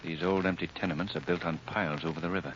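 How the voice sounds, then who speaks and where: A muffled old 1930s radio drama with clear noise carries a man acting a calm gentleman sleuth. His voice is exceptionally deep, and he speaks gravely and with measured authority. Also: lightly acted. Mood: calm, guarded.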